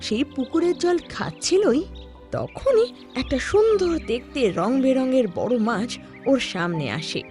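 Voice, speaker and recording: A woman's voice: high-pitched, sing-song, slow, dark tone. A woman narrating a scripted children's cartoon story. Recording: background music under the voice.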